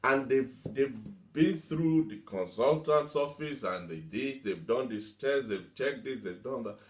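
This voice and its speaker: A man's voice: medium pitch, animated, measured pace. A man preaching a motivational teaching sermon, emphatic, repeating himself and asking rhetorical questions. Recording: echoey room.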